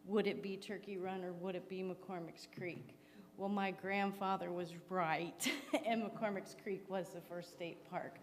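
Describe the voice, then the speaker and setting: A woman's voice: lowish voice, steady tone, measured pace. A woman, a conservation advocate, giving an earnest, story-like public comment at a forum. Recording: reverberant hall with faint background noise.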